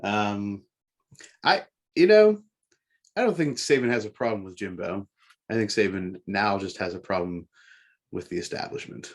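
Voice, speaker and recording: A man with a fairly low voice speaks as a panelist on a sports talk show. He discusses and argues his points in a casual, conversational style. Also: lively intonation, normal speed, pauses often.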